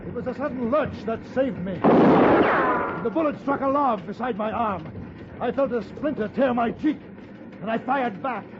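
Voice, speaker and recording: A brave gentleman in an old radio western, narrating and speaking lines. His raised, very high-pitched voice is clipped and resolute, on a dull recording buried in loud noise. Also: lively intonation, measured pace. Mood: tense narration. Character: brave, composed gentleman adventurer narrating his own tale. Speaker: lead actor and narrator.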